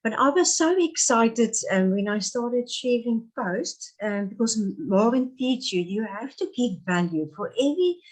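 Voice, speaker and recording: A woman's voice: a little high, lively intonation, measured pace, few pauses, poor audio. A woman in her fifties with an Afrikaans accent, a native Afrikaans speaker, talking earnestly as an interview guest, with many restarts and fillers. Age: 50s. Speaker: guest, therapist. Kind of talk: casual interview chat.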